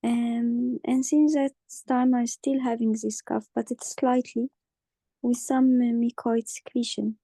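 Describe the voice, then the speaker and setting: A woman playing a hoarse forty-nine-year-old patient with a croaky voice, speaking haltingly with many pauses and restarts. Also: a little high, flat delivery, dark tone, dry recording. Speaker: simulated patient in exam practice.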